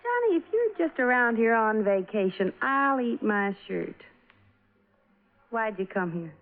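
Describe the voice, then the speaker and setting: A spirited, plain-spoken young ranch woman in a vintage radio drama. She has a fairly high voice and a rural American accent, with a brash, bossy manner. The sound is thin and tinny, like an old broadcast. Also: big pitch swings, measured pace. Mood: challenging. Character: feisty, blunt young country woman, gun-toting ranch niece. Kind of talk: mid-century American radio drama acting.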